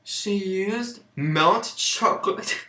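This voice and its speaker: A woman's voice: mid-range voice, drawn-out words, pushed and bright. A woman giving a mocking amateur dramatic reading of fan fiction, breaking off into sarcastic asides. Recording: roomy, echoing home recording.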